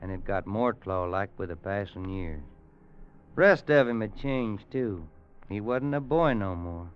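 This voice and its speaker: A man's voice: fairly low pitch, expressive, a little slow, smooth. An actor playing a weary, worried Western man telling a grim tale in a folksy American radio drama style. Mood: grave, haunted. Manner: folksy, grave dramatic storytelling. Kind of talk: 1950s radio drama acting. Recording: muffled old radio recording with music.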